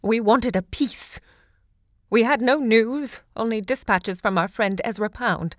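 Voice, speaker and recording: An actress playing a grand, impassioned woman who hosts a literary salon, looking back in deliberate, theatrical monologue. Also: a little high, dry recording, clean studio sound, natural voice. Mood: defensive. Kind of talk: theatrical audio drama monologue.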